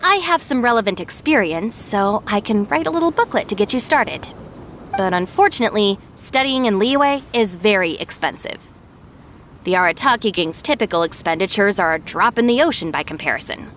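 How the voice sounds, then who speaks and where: A woman's voice: mid-range voice, animated, normal speed, fairly bright tone, a little hiss or hum, close to an everyday voice. A woman playing a sensible, wry young second-in-command of a gang in a video game, matter-of-fact and polite. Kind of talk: animated video game voice acting.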